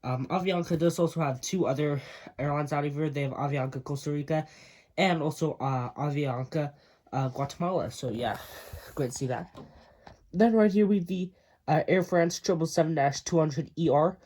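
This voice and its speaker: A man's voice: fairly high pitch, moderate pace, a little mellow. A young man with a congested, stuffy voice, narrating a casual video tour of his model airport, with frequent ums and uhs.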